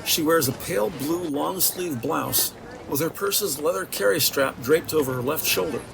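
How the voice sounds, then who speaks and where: A man's voice: fairly high pitch, normal speed, creaky, noisy background. A man narrating an audio drama from a script in a descriptive, theatrical storytelling manner. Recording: music behind the voice.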